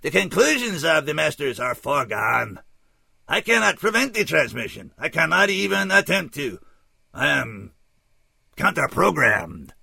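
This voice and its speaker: Guy doing a solemn alien scout for an audio story, raised pitch, weird foreign accent, super formal and pained, kinda resigned. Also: sing-song, vocal fry, sharp tone, husky, no echo, clean studio sound, heavily acted. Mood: helpless, dispassionate. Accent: odd, unplaceable foreign accent. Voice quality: pained, strained. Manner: stiff, formal, dispassionate, slow and deliberate.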